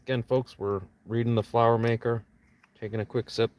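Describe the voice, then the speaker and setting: A man's voice: mid-range voice, flat delivery, brisk, darkish tone. A man, an author reading his own novel aloud as an audiobook narration, with casual asides to listeners.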